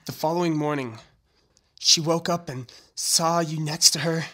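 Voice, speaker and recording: A man acting in an audio drama as a doomed young man, loving and resolute, speaking haltingly and earnestly in a breathy, strained voice. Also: on the high side, steady tone, bright, forceful tone. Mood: tender, resigned.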